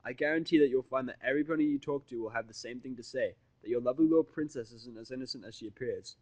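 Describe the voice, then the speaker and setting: A man's voice: medium pitch, fast, darkish tone, no echo, close to an everyday voice, rough recording. A man playing a defiant, foul-mouthed human who bluffs and scolds in a brash, sarcastic, confrontational way in an audio story. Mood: scolding.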